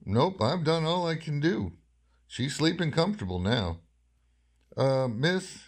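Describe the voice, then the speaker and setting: A man's voice: a little high, very animated, measured pace. Man acting a folksy, skeptical old country doctor. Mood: matter-of-fact. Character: folksy, suspicious older male country doctor. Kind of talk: radio horror drama acting.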